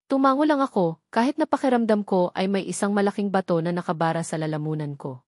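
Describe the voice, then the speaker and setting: A woman narrates a scripted dramatic story in the first person. Her voice sits at a medium pitch, and the recording is dry and close, with little room sound. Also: fast talker, darkish tone, no room sound.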